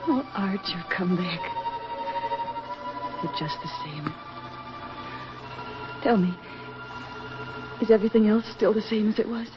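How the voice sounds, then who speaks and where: A woman's voice: medium pitch, takes it slowly, long pauses, natural voice. An actress playing a frail, lovelorn young woman, fervent and breathless in 1940s radio melodrama style. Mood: awestruck, yearning. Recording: dull 1940s radio recording, music behind.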